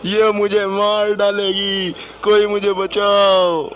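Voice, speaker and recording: Man voicing a high-pitched frightened cartoon captive. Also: completely flat delivery. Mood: frightened pleading. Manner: pleading, drawn-out. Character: frightened captive friend pleading for rescue. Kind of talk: Hindi horror cartoon voice acting. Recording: dull lo-fi audio, very loud background noise.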